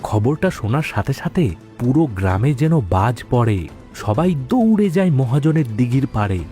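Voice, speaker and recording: A man's voice: mid-range voice, very animated, normal speed. A man narrating a scripted story dramatically, with music behind his voice. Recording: background music under the voice.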